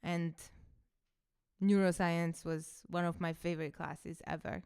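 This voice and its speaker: A woman's voice: on the low side, flat delivery, measured pace, a little mellow, clear, resonant tone. A woman in her thirties, a coach and podcaster whose first language is Hungarian, telling her story in a reflective, casual monologue.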